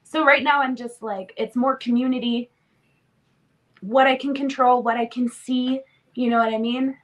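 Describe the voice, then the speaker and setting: A woman's voice: a little high, fairly even intonation, moderate pace, poor audio. A woman in her twenties, sniffly from a cold, chatting casually as a podcast guest with lots of "like" fillers. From born in Romania, raised in Canada. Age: 20s. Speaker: interview guest.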